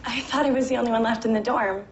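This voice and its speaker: An actress playing a friendly, forward young college student in naturalistic TV film dialogue. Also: female voice, on the high side, steady tone, quick pace, slight background noise, lightly acted. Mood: playful. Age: young adult. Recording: roomy, dull sound, faint music.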